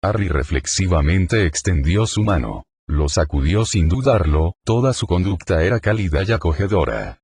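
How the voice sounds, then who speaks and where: A man narrating a fan fiction audiobook in a steady, plain storytelling manner. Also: deep voice, moderate pace, few pauses, breathy edge.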